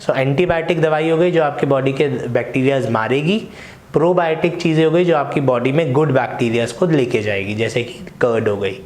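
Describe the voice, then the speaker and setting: A man's voice: mid-range voice, brisk, darkish tone, reverberant. A young adult man with an Indian English accent teaches vocabulary patiently, switching between Hindi and English and often asking "right?". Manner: explains patiently, asks rhetorical questions and checks in with "right?". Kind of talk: explanatory lesson mixing Hindi and English.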